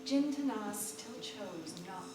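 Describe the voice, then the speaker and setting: A woman's voice: medium pitch, animated, takes it slowly, background noise. Woman storytelling in a big echoey hall. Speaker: reader in an ensemble live reading. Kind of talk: dramatic literary narration on stage. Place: theatre stage before a live audience. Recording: echoey distant live theatre recording with music. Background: music.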